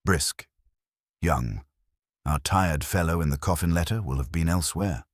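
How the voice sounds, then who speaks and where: A man's voice: very deep voice, moderate pace, dry recording, studio quality. A man reading the part of an observant, wry police sidekick who speaks in clipped phrases.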